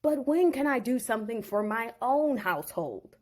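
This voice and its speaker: A woman's voice: a little high, lively intonation, normal speed. A woman voicing Jacob, a crafty young shepherd making a casual, persuasive bargain.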